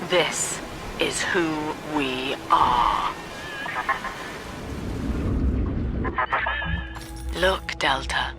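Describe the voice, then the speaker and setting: An actress playing a cold, composed cult leader and psychiatrist villain in a video game, speaking in grave, precisely articulated, preaching monologues. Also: female voice, deep voice, full character voice. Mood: menacing calm.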